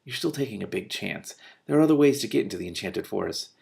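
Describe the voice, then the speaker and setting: A man voicing a blunt, skeptical princess, slightly creaky. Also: lowish voice, lively intonation, quick pace, a little mellow. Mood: worried. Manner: pointed, challenging, impatient. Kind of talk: expressive audiobook-style character reading.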